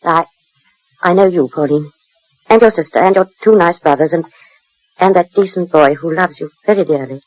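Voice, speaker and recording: An actress playing a composed, world-weary betrayed wife in a 1940s radio drama, speaking in a measured way. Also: female voice, lowish voice, a little mellow, no echo, natural voice. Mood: pleading. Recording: muffled 1940s radio recording.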